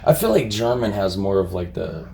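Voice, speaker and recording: A Midwestern American man with a low, gravelly voice. He co-hosts a relaxed reaction chat, wandering through his points with plenty of fillers and restarts. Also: normal speed, a little hiss or hum. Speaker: reaction channel co-host. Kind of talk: casual chat reacting to a video.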